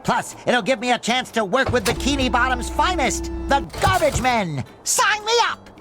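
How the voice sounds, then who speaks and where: An actor voicing an eager, naive young male sea sponge in a cartoon video game, squeaky, earnest and childlike. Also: lively intonation, normal speed, music in the background, natural voice. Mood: excited. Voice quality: high, squeaky. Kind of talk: animated video game acting.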